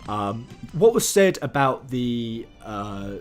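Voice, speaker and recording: A man giving casual, sweary gaming news commentary as a channel host, excitable and informal in manner. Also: medium pitch, slow. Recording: music under the voice. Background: background music.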